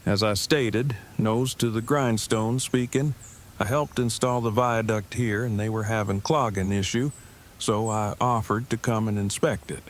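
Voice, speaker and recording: A man's voice: lowish voice, fairly even intonation, a little slow, slight background noise, close to an everyday voice. A man playing an earnest, awkward, brainy engineer who talks in verbose, stilted sentences in an audio drama. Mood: stiff, measured. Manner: verbose, stilted, formal phrasing. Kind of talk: fan audio drama dialogue.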